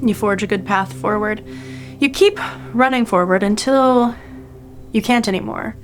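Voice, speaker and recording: A woman narrating an improvised tabletop game as game master, pausing to think as she describes the scene. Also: medium pitch, faint music behind. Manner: halting, thinking aloud.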